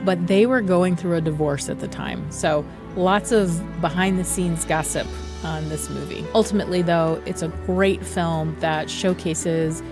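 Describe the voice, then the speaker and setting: Lower-pitched woman hosting a film podcast. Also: unhurried, few pauses, over music. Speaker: podcast host. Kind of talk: film discussion and recommendations.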